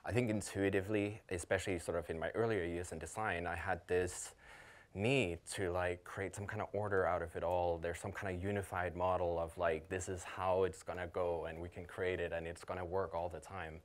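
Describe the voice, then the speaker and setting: Low-voiced Danish man giving a casual talk. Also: flat delivery, fairly quick. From Denmark. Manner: casual, many fillers and restarts. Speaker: conference speaker. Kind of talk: conference talk. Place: conference hall.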